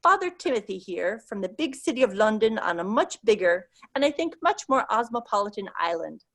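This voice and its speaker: A woman's voice: medium pitch, sing-song, fairly bright tone. Woman reading as a discreet young priest. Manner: measured, discreet.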